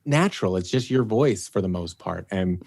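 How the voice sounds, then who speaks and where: A man's voice: low-pitched, expressive, normal speed, gravelly, darkish tone, dry recording, studio quality. A man who produces and voices an audio series, chatting casually on a podcast in an easygoing way. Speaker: producer and voice actor guest.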